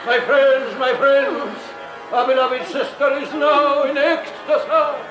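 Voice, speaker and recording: Cult high priest, high-pitched, grandiose, preacherly, unctuous, reverberant space, radio drama. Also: male voice, fairly even intonation, muffled recording. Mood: rapturous. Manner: slow, incantatory, preacherly. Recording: echoey temple with music behind.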